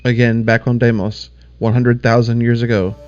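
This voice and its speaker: A man's voice: medium pitch, flat delivery, moderate pace, background noise. A man giving scripted narration for an audio drama in a plain, matter-of-fact way. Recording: slightly dull lo-fi sound over music.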